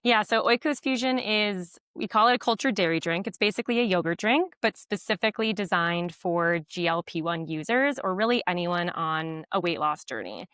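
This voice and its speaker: A woman with a low voice, a guest on a podcast interview, explaining fluently and often using fillers. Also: expressive, normal speed, hardly any pauses, a bit bright, clear, resonant tone, dry recording.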